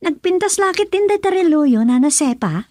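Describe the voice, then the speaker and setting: A high-pitched actress in the part of a young woman in an Ilocano radio drama. She sounds friendly, admiring and chatty, recorded dry and close. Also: lively intonation, brisk, dark tone, no room sound. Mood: admiring, teasing.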